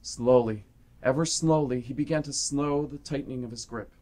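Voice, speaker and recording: A man's voice: medium pitch, normal speed, darkish tone. Man narrating a dramatic adventure story. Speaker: radio play narrator.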